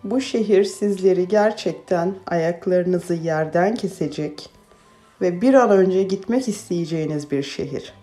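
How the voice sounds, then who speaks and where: A woman's voice: on the low side, measured pace, mellow, faint music behind. A woman narrating a travel vlog as its presenter. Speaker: travel vlogger.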